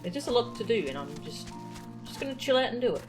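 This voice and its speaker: A woman's voice: a little high, very animated, fast. A woman chatting casually while streaming a video game, in a playful, easygoing manner. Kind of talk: casual livestream gameplay chat, reading game text aloud. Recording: game music behind the voice.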